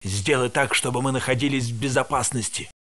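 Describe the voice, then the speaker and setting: An actor voicing a tired male security guard in a research facility in a video game dub, with a gravelly, matter-of-fact delivery. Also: mid-range voice, fairly quick, fairly bright tone, close to an everyday voice. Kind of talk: game voice lines, Russian dub.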